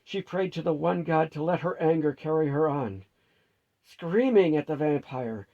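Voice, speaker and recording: A man narrates a fantasy story in a higher-set voice with a gravelly, husky grain. The tone is mellow and the reading is scripted. The recording sounds dull and lo-fi.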